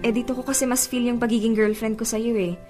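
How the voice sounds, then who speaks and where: Woman acting sweet, insistent young girlfriend, high-voiced. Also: level tone, quick pace, a little mellow, soft background music, natural voice. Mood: gently coaxing. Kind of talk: Filipino radio drama acting.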